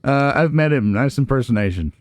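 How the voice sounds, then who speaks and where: A man's voice: medium pitch, expressive, a little fast, a little mellow, dry recording. A man hosting a casual video game playthrough, musing aloud and making wry asides to viewers. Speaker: let's play host. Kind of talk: casual game commentary, reading and reacting aloud.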